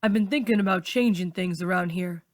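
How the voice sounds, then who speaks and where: A woman voicing a calm, earnest teenage boy in a storybook, speaking sincerely and without show. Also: lowish voice, fairly quick, no room sound, clean studio sound, lightly acted.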